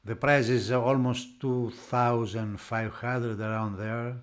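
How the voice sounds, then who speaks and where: A man who is not a native English speaker, narrating a product unboxing off the cuff in halting phrases. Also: medium pitch, flat delivery. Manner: halting, piecing phrases together. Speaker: product reviewer.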